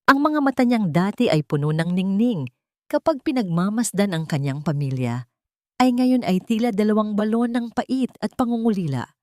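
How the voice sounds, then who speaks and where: A Filipino woman reading a scripted dramatic story in a measured, solemn and expressive way. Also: medium pitch, no room sound, studio quality.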